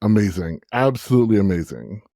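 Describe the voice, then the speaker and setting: A man's voice: fairly low pitch, expressive, brisk, vocal fry, warm tone, dry recording, clean studio sound. A man who is a guest on a casual podcast, eager and upbeat as he asks questions.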